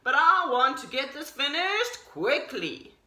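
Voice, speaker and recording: A woman doing a busy beaver, bright and emphatic. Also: high voice, big pitch swings, slow pace, pitched above the performer's own voice, heavily acted. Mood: busy, apologetic. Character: busy, apologetic male beaver, easily scared. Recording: roomy echo.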